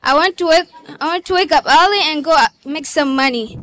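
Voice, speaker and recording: A woman's voice: high voice, brisk, fairly bright tone. A young woman putting on an American accent, talking about wanting coffee. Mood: sleepy. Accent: put-on American. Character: sleepy American coffee lover.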